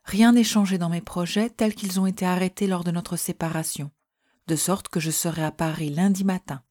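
A woman reading historical letters aloud in a measured, gentle way. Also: on the low side, fairly even intonation. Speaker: reader.

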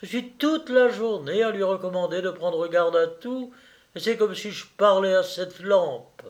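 A man's voice: high-pitched, unhurried, voice pushed up. A man voicing a peevish, scolding spinster in a storybook reading, exclaiming and complaining indignantly.

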